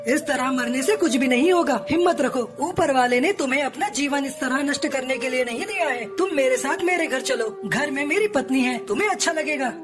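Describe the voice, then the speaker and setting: Woman as high-voiced eager male crow. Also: a little fast, hardly any pauses, natural voice. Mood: concerned. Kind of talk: cartoon dubbing. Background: music.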